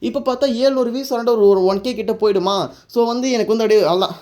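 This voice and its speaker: A man's voice: high-pitched, racing, darkish tone. A man recapping a story casually and informally, rambling along and mixing in English words. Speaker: YouTube anime recap narrator.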